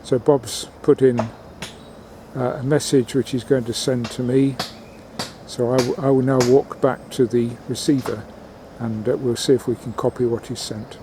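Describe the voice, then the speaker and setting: A man giving a halting, matter-of-fact commentary on a field experiment, recorded outdoors in a garden. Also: medium pitch, fairly even intonation. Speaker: amateur radio experimenter. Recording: outdoor wind and ambient noise.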